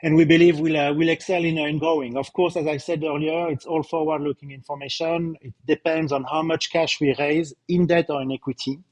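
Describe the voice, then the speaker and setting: A man's voice: fairly high pitch, brisk, hardly any pauses, darkish tone, no echo, rough recording. Emphatic man with a slight French accent. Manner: repeats words, many filler sounds, emphatic. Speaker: company co-founder presenting results. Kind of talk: live corporate presentation.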